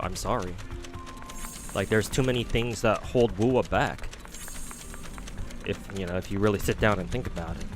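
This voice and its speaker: A man streaming a game, chatting casually in a rambling, profane way full of "like" fillers. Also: fairly low pitch. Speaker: gaming streamer. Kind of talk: casual livestream chat while playing. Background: game music.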